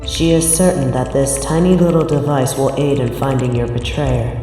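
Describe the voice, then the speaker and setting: Mellow female narrator in deep reverb. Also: fairly even intonation, moderate pace. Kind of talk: scripted second-person story narration. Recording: very echoey, long reverb, music behind. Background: music.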